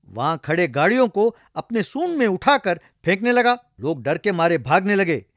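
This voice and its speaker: High-voiced male storyteller. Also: sing-song, moderate pace, a bit bright, no echo, studio quality. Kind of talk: scripted comic folktale narration.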